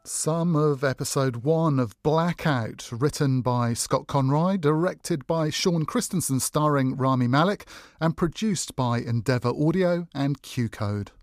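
Male podcast credits reader: slightly high voice, scripted delivery, dry studio-style sound. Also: unhurried, dry recording. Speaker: credits announcer.

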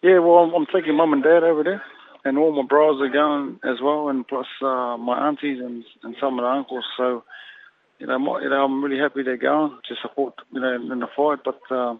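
A Samoan-born boxer being interviewed, talking haltingly and filling pauses with "you know". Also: male voice, medium pitch, level tone. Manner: halting, leans heavily on "you know" fillers. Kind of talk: phone interview. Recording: tinny phone line.

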